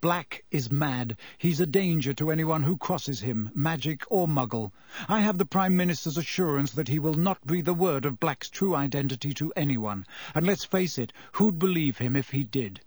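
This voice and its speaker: Audiobook role: pompous, blustering minister acted by a man, irritable and official, faintly husky. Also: a little high, animated, a little fast, few pauses, darkish tone, dry recording. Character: irritable, pompous middle-aged government minister, male. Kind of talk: audiobook character voice.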